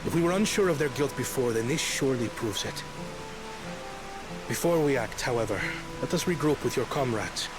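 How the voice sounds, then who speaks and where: An actor playing a young catfolk prince, a protective brother who is earnest and hot-tempered, speaking in a formal, measured, dramatic way for a video game. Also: male voice, medium pitch, lively intonation, over music, lightly acted. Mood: resolute.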